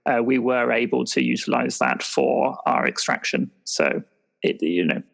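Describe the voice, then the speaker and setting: A man's voice: medium pitch, big pitch swings, measured pace, vocal fry, fairly bright tone, heavily acted. A man playing a dutiful field agent, giving a hesitant, formal report in an improvised audio roleplay. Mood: hesitant, diplomatic.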